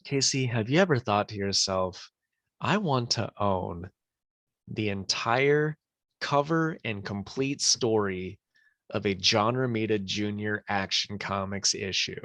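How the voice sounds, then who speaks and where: A man's voice: lowish voice, measured pace, fairly bright tone, no room sound. A man co-hosting a podcast, joking easily in casual chat while just over a cold. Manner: joking, easygoing, often laughing. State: recovering from a cold. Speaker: podcast co-host.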